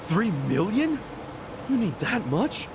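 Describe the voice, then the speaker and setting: A man voicing a brash, warm-hearted, impulsive and loyal ex-yakuza in a video game dub. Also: high-pitched, very animated, slow, noisy background, heavily acted. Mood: curious. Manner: informal, blunt, eager.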